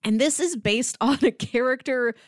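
A woman chatting casually as a podcast co-host, pitching shows to her partner. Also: normal speed, no echo, clean studio sound.

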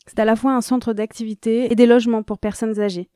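A woman's voice: a little high, brisk, smooth, dry recording. Woman reading a news report, dry sound. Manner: even, composed reading. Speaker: radio reporter. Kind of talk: scripted news report narration.

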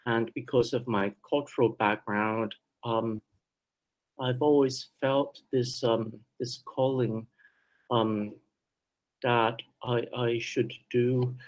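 A man from Taiwan with a slight Taiwanese Mandarin accent, answering interview questions hesitantly with many pauses and restarts. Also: mid-range voice, fairly even intonation, poor audio. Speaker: guest theologian.

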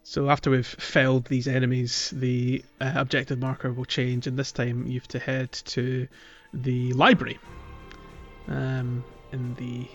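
A male podcast host talks through a game in a casual, explanatory way. His voice is mid-pitched and sounds slightly dull and lo-fi, with music clearly playing behind him. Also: monotone, measured pace. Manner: conversational, explanatory. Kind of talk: casual chat, game playthrough commentary.

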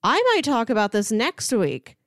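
A woman with a very animated, mid-pitched voice chats as a podcast co-host. She is talkative and playful, in a casual style. The sound is close and dry. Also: moderate pace, no echo. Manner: chatty, playful. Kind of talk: casual podcast chat about media.

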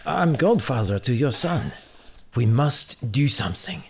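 A man's voice: medium pitch, sing-song, unhurried, gravelly. A man acting in an audio drama as a loyal, insistent friend urging action. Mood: urgent.

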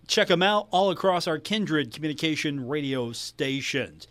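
A man's voice: on the high side, expressive, normal speed, fairly bright tone. A man hosting a live sports radio show in a casual announcing style.

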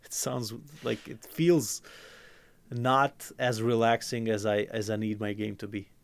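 A man's voice: fairly low pitch, steady tone, unhurried, gravelly. A man from Croatia, a podcast guest in marketing, chatting easily with dry humor and frequent laughs. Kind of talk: casual chat.

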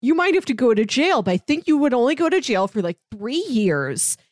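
A woman's voice: a little high, sing-song, fairly quick, a little mellow, no echo, clean studio sound. A woman co-hosting a podcast, chatting casually and giving her take on a TV drama. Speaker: podcast co-host. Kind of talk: casual chat reviewing a TV drama.

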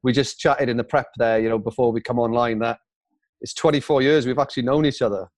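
A man in his early forties hosting an interview, asking an old friend questions in a quick, casual way. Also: mid-range voice, fairly even intonation, no room sound. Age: early 40s. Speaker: interview host.

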